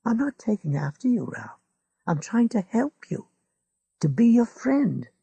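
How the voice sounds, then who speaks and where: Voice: mid-range voice, sing-song, unhurried, vocal fry, dark tone, slightly rough, no room sound, pitched above the performer's own voice, heavily acted. A reader voicing McGovern, a pushy older man lecturing his friend about his health. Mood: insistent. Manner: insistent, lecturing. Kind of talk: audiobook character voice.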